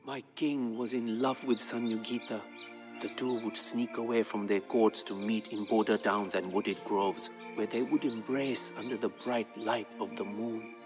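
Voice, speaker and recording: Music plays behind an actor as a male court poet with a low voice. He recounts his king's legend for a strategy game as dramatic narration, solemn and measured, with grave weight on his phrases. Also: fairly even intonation, lightly acted. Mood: reverent storytelling. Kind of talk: dramatic scripted storytelling narration. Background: background music.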